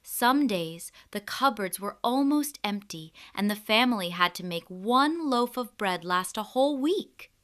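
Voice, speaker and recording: A woman narrating a scripted fairy tale for children in an expressive, deliberate storytelling manner. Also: medium pitch.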